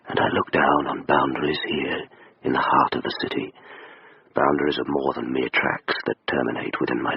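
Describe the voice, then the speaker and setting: Gravelly, extremely deep ancient station spirit. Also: male voice, no room sound, highs cut off, exaggerated voice. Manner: slow, ornate, portentous monologue. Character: ancient male spirit of a city railway terminus, grandiose and brooding. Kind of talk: scripted radio drama monologue.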